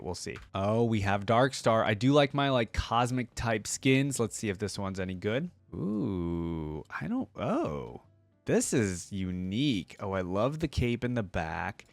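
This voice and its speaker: A man's voice: on the low side, no room sound. A man giving casual, informal reaction commentary, thinking aloud with exclamations as a video creator.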